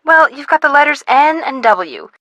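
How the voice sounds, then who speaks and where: A perky, bright teen girl sidekick. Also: high-pitched, sing-song, normal speed, lo-fi sound, a little hiss or hum, exaggerated voice. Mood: upbeat. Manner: perky, chatty, encouraging. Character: cheerful teen girl sidekick, upbeat helper. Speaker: friend of the detective giving hints by phone. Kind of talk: video game voice acting.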